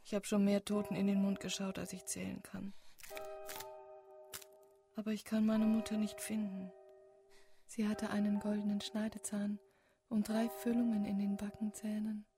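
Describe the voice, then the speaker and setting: Woman as a hushed, flat, forlorn child. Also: medium pitch, moderate pace, pauses often, over music, natural voice. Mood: forlorn, numb. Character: lost, forlorn child searching for a dead mother. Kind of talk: German radio drama acting.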